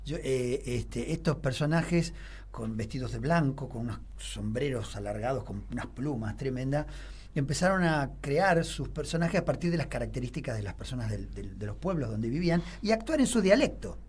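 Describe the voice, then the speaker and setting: A man's voice: medium pitch, expressive, moderate pace, a little mellow, a little hiss or hum. A middle-aged man with a Rioplatense Spanish accent, a theater teacher explaining history on a radio show in a rambling way, full of fillers and restarts. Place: radio studio.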